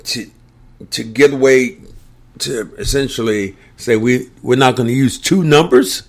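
A middle-aged man, a sports broadcaster, talking sports in a reflective way, often pausing on fillers. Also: medium pitch. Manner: reflective, halting with frequent fillers. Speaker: sports broadcaster and podcast co-host. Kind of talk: casual sports talk.

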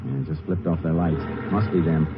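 An actor playing a steady, commanding Texas Ranger in a 1950s radio western, speaking tersely and with authority. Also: male voice, sits low, normal speed, background noise, close to an everyday voice. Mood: calm, alert. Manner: terse, matter-of-fact, authoritative. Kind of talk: 1950s radio drama acting. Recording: muffled old radio recording, loud music.

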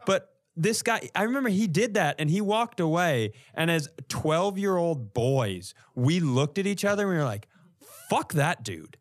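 A man's voice: fairly high pitch, normal speed. A man telling stories in a casual, comic podcast style, acting out the lines he quotes as he goes. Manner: animated, acts out quoted lines. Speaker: comedy podcast co-host.

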